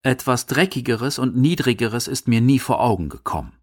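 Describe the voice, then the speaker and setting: A man narrating and reading quotations for a scripted radio documentary, measured and articulate. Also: medium pitch, lively intonation. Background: music.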